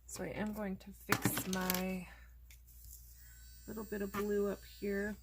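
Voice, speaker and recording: A woman host casually narrating a coloring tutorial, chatty and relaxed, thinking aloud as she works, and losing her voice. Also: mid-range voice, slow, creaky, very noisy.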